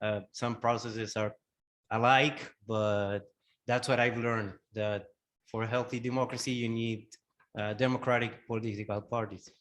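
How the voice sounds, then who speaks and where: A man's voice: mid-range voice, fairly even intonation, measured pace, a bit bright. A man from Argentina in the audience, asking a question hesitantly and searching for words.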